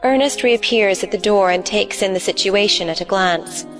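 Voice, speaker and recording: A female narrator with a medium-pitched voice reads stage directions for an audio play. Faint music sits behind her scripted narration. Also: moderate pace.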